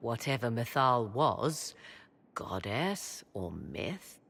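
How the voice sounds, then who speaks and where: A haughty fantasy game sorceress with a low, lively voice. She speaks in arch, formal phrases, proud and sardonic, holding her secrets close. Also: slow pace, creaky, heavily acted. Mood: skeptical. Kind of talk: dramatic fantasy video game acting.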